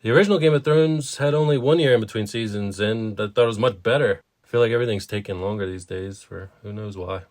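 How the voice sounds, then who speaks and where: A man's voice: on the low side, fast talker, hardly any pauses, a little mellow. A man co-hosting a story podcast, chatting casually and giving introductions. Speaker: podcast co-host.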